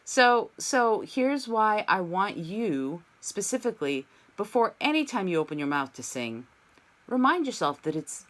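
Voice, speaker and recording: A woman leads a singing class over a video call. Her pitch swings widely as she explains and sings quick demo notes. She is encouraging and talks things through. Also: big pitch swings, a bit bright. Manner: encouraging, demonstrates sung vowels. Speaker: voice teacher. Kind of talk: online singing lesson.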